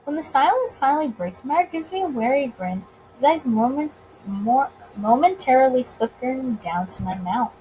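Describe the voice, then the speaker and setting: A woman reading a visual novel aloud in an expressive narrating style, occasionally tripping over words. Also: high-pitched, normal speed, a little hiss or hum, low-quality recording.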